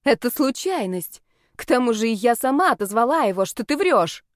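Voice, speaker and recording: Hot-headed young witch heroine read by a woman, sarcastic, raised pitch, dry fantasy audiobook. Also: very animated, moderate pace, no room sound. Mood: defiant. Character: feisty, impulsive young female dark witch.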